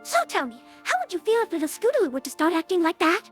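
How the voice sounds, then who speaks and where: A woman's voice: extremely high pitch, very animated, fast talker, soft background music. A woman voicing a refined, fussy lady unicorn pony, speaking in a formal, dramatic way in a cartoon audio drama. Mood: probing. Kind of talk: animated cartoon-style audio drama dialogue.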